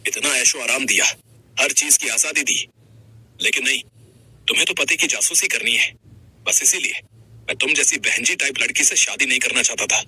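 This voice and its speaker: A man's voice: medium pitch, normal speed, pauses often, sharp tone, husky, rough recording. A man voicing an arrogant, callous young husband and businessman, curt, scolding and dismissive in manner. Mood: angry, scornful.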